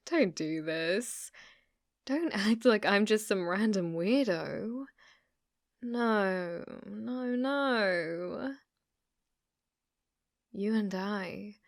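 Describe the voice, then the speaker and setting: Casting: actress as delusional young British cashier, obsessive stalker for ASMR roleplay; unhurried, halting delivery, very dry close sound. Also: female voice, mid-range voice, no room sound, full character voice. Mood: offended, pleading. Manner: halting, flustered, slipping into breathy menacing whispers.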